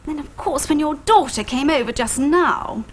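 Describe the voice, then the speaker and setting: An actress playing a loud, bossy middle-aged neighbour woman who talks in a booming, brisk and hearty way, in a British TV sitcom. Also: lively intonation, background noise. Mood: apologetic. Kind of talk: 1980s British sitcom acting.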